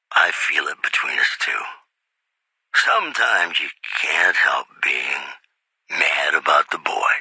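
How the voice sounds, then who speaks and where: An actor playing a suave, romantic radio DJ in a video game, smooth-talking and intimate, with theatrical pauses and emphasis. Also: male voice, lowish voice, bright, forceful tone, no room sound, heavily acted, low-quality recording. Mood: flirty, romantic. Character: suave romantic male lounge-style radio host, flirty and sincere. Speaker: radio DJ and newsreader. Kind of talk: retro radio DJ patter and news bulletins.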